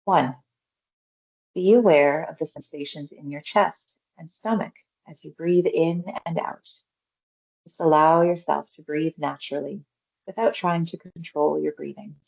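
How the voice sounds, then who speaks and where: Casting: woman, low creaky voice, meditation guide, gentle and reassuring, unhurried phrasing, slightly muffled recording. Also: fairly even intonation. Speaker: mindfulness exercise guide. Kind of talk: guided meditation, body scan instruction.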